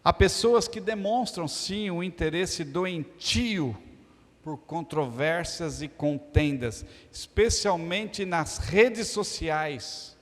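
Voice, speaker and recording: A man's voice: on the high side, takes it slowly. A man from Brazil preaching a sermon in a church, joking one moment and stressing lists of words the next. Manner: humorous, storytelling, emphatic lists. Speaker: pastor. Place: church hall. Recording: church hall reverb.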